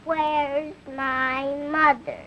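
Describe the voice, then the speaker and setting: A woman's voice: high-pitched, steady tone, very slow, fairly bright tone, heavily acted. An actress playing a childlike, dazed girl who talks in short babyish phrases, in a noisy 1930s film. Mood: dreamy, babyish.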